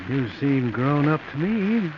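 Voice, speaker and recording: A man's voice: a little high, very animated, slow pace. An actor playing a smitten middle-aged married man in an old radio drama, earnest and hesitant, on a muffled, very noisy recording. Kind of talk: 1940s radio acting.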